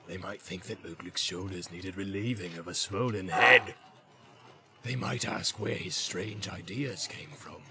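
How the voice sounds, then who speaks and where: Brief: man doing a scheming orc, low gravelly hiss, soft but evil, distant. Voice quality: soft, sneering, whispery. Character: sly male orc messenger, soft-voiced and evil.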